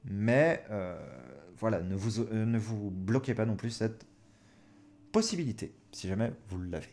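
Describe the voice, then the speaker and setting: A man's voice: lowish voice, lively intonation, measured pace, darkish tone. A man in his twenties giving casual advice as a host, often hesitating as he thinks aloud. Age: 20s. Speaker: host, experienced game master giving advice. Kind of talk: casual chat, advice to beginners.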